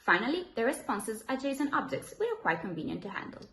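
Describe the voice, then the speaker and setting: A woman's voice: on the high side, sing-song, brisk, a bit bright. A young woman, a student presenter, giving a scripted technical presentation. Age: young adult. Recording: roomy echo with faint hiss.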